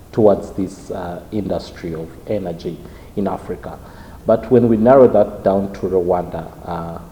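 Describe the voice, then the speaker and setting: A man's voice: deep voice, warm tone. A man interviewing a guest, asking long, formal questions with fillers. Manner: formal, wordy phrasing with fillers. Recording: echoey hall with faint noise.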